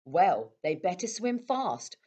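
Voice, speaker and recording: Lady doing a little boy from a kids book, grumbly n excitable, voice jumps all over. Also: on the low side, slow, breathy edge, exaggerated voice. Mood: excited. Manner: complaining, exclaiming. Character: excitable, grumbling young boy in a children's storybook.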